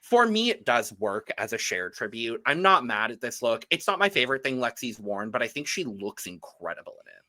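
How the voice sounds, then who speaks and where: A man's voice: medium pitch, big pitch swings, a little fast, few pauses, bright, forceful tone. A man co-hosting a podcast, giving casual, opinionated reviews of fashion looks. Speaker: podcast co-host reviewing drag runway looks.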